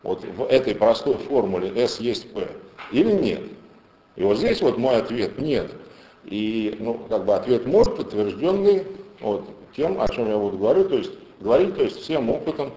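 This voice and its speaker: A man's voice: mid-range voice, very animated, normal speed, dark tone. A man giving an unscripted academic lecture on language and thought, thinking aloud with restarts and emphatic rises. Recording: roomy echo.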